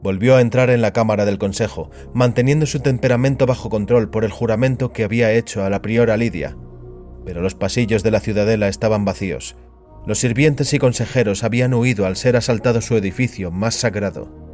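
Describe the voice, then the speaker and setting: Low-voiced male fiction narrator. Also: brisk, darkish tone. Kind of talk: scripted narration of dramatized fiction. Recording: music behind the voice.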